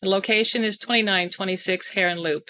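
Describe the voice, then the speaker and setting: A woman's voice: medium pitch, fairly even intonation, fast, pushed and bright, slight background noise. A woman giving scripted emergency reports as an area radio communicator in a drill.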